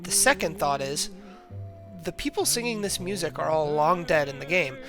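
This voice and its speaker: A man's voice: a little high, lively intonation, moderate pace. A young man narrating a scripted video essay as a student presenting an analysis, reading steadily from a prepared text. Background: music playing under the voice.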